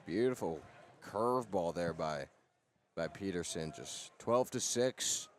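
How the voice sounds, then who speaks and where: A man's voice: low voice, lively intonation, a little slow, a little hiss or hum. A man giving baseball color commentary as an analyst alongside a play-by-play announcer.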